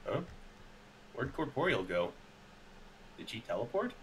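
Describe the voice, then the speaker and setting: A man's voice: medium pitch, very animated, a little slow. A man roleplaying casually in a tabletop game, hesitant and thinking aloud as he talks. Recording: clearly noisy background.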